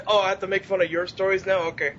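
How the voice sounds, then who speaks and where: Young guy podcaster, really high voice, swears and giggles, joking at himself, faint noise on a cheap mic. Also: vocal fry, a bit bright. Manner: giggly, profane, self-mocking. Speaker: podcast co-host and amateur comedy writer. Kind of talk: casual group banter. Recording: dull lo-fi voice chat, noisy rumble.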